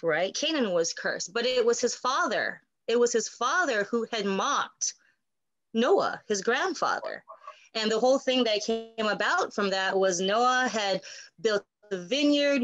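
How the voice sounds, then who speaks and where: A woman's voice: a little high, a bit bright, no room sound, muffled recording. A woman pastor co-hosting a Bible study, explaining conversationally and often checking in with "right?".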